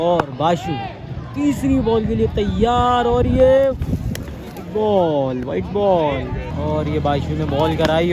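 Young male cricket caller, fairly high voice, excitable, crowd noise all around. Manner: excited, rising sharply on big shots. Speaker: cricket match commentator. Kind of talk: live amateur cricket commentary. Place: outdoor sandy ground with a crowd.

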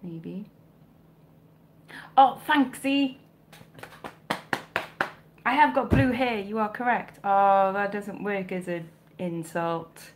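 A woman's voice: medium pitch, slow, long pauses, a little hiss or hum. Chatty woman with a mild South Welsh accent. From South Wales. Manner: chatty, self-mocking, jokey asides. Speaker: vintage clothing reseller, livestream host. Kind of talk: casual live stream chat reading comments. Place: home bedroom with shelves, indoors. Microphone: webcam or phone close up.

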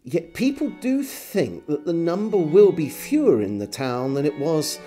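A man in his forties reads literary prose aloud at an unhurried pace. His voice is mellow, and the pitch moves freely as he gives each phrase theatrical weight and deliberate pauses. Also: big pitch swings, faint music behind. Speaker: storyteller reading aloud. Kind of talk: expressive literary diary reading. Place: small home room with bookshelves.

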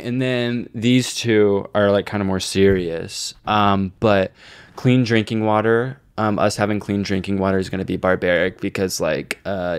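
A man's voice: deep voice, no room sound, clean studio sound. A young man co-hosting a podcast in casual comedic chat, joking with plenty of slang and swearing. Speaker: podcast co-host.

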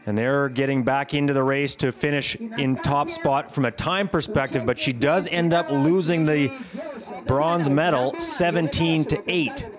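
Higher-pitched male sports commentator over music. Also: fairly even intonation, unhurried. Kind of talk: scripted highlights commentary.

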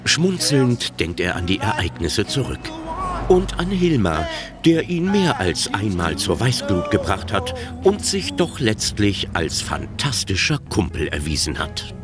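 A male narrator tells a comic radio story with wry drama. His voice is low and very expressive, with music playing behind it. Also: a little slow. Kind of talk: scripted comedy radio play narration.

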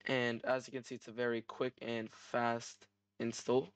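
Male tutorial host, clean smooth voice, dry close sound, step-by-step instructional narration. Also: mid-range voice, level tone, a little slow, no room sound. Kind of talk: scripted software tutorial narration.

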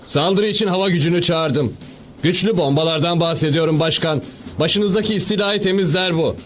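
A bossy, gung-ho cartoon general voiced by a man barks urgent commands. He is high-voiced and rapid, with faint music underneath. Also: close to an everyday voice. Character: bossy, gung-ho male military general, trigger-happy. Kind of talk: Turkish cartoon dub acting.

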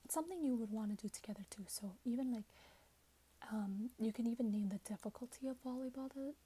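Young woman doing soft asmr shop roleplay, half whispering, breathy n mellow, chatty and casual. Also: on the high side, fast talker. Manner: casual, conversational, hesitant pacing as if chatting with a customer. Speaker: ASMR roleplayer, shop assistant creating robot companions. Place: small plain room indoors.

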